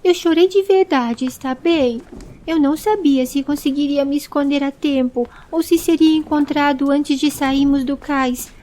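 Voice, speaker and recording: A high-voiced young boy in an audio story acted out by a woman. He is nervous and earnest, pleading his case in a plaintive, apologetic way. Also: normal speed, dark tone, natural voice. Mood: plaintive, defensive.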